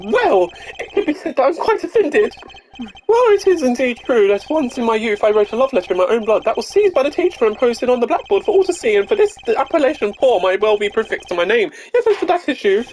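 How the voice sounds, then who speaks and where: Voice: medium pitch, very animated, quick pace, darkish tone, a little hiss or hum, pitched above the performer's own voice, lightly acted, rough recording. An amateur performer voicing a haughty, fussy young male university student with verbose, theatrical delivery, as a video game witness. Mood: wounded pride. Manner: pompous, verbose, theatrical speech. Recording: game music behind.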